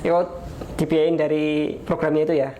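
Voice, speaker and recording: A man's voice: on the high side, level tone, moderate pace, mellow, low-quality recording. A man with an Indonesian accent hosting a podcast, asking casual questions informally and rephrasing them as he goes. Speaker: podcast host. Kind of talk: casual interviewing. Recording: background noise.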